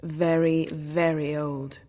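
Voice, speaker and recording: An actress playing a haughty, learned sorceress in a video game, sharp-tongued and lecturing. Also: female voice, deep voice, flat delivery, slow pace, long reverb tail. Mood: knowing, lecturing.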